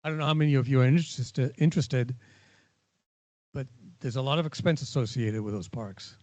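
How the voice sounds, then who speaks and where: A man's voice: mid-range voice, fairly quick, darkish tone. A man moderating a town hall meeting, reading out questions and making wry asides.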